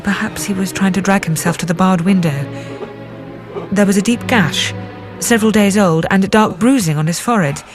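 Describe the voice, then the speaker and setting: An actress plays an earnest British woman doctor in a radio drama. Her speech is precise and restrained, with a literary turn and a middling pitch. Faint music sits behind the voice. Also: brisk, close to an everyday voice. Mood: sombre. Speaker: radio drama actor, narrator character. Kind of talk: radio drama dialogue and first-person narration.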